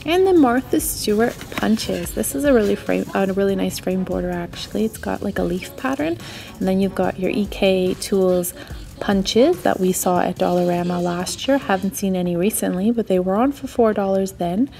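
A woman's voice: mid-range voice, moderate pace, creaky, dark tone, faint music behind. A woman giving a casual, chatty and enthusiastic running narration as a shopping vlogger. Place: indoors, in a store aisle.

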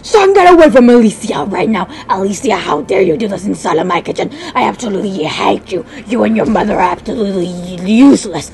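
A woman's voice: mid-range voice, animated, fast talker, gravelly, few pauses, darkish tone. A woman playing a furious, tyrannical father, scolding emphatically in an amateur game roleplay.

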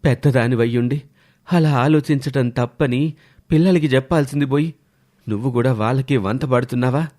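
A man's voice: mid-range voice, brisk, a little mellow, close to an everyday voice. A man voicing a stern older village father in a cartoon story. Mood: reproachful. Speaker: narrator and character voices. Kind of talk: scripted cartoon story narration and dialogue.

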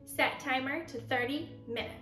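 A woman's voice: sits high, moderate pace. A young woman giving a casual, chatty voice-over as a home vlogger, talking directly to her viewers. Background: background music.

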